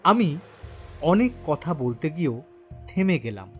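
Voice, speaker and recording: A man narrates an audio horror tale in the first person, his voice fairly high and very expressive. He phrases each moment deliberately for suspense, over soft background music. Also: husky.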